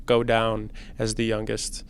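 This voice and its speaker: A man in his twenties hosting a student podcast, talking in a relaxed, chatty way with plenty of slang and fillers. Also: lowish voice, a little slow, gravelly, slight background noise. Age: 20s. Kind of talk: casual conversation.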